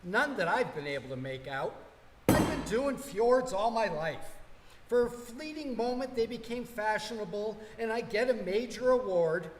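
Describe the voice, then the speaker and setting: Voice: medium pitch, lightly acted. A performer as elderly male planet designer, weary, rambling, swooping intonation, live radio play, noisy hall. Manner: rambling, resigned.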